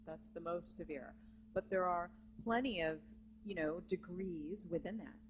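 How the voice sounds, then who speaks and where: Female vocal coach, low natural voice, encouraging clinic delivery; dull live hall recording with a faint hum. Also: measured pace. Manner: lively, encouraging, demonstrates with sung examples. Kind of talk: live masterclass teaching. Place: conference hall with student audience.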